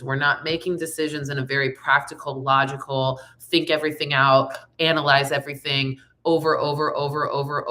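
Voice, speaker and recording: A woman's voice: low-pitched, sharp tone. A woman giving a casual tarot forecast, chatty and repetitive, often checking in with her listeners. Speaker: tarot reader.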